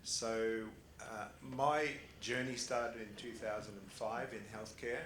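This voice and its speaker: A man's voice: medium pitch, steady tone, slow pace, noisy background. A man with an Australian accent speaking on a conference panel, chatty and laughing, with many fillers and restarts. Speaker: panelist, family office founder. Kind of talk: casual panel talk. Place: conference hall. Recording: roomy hall with faint ambience.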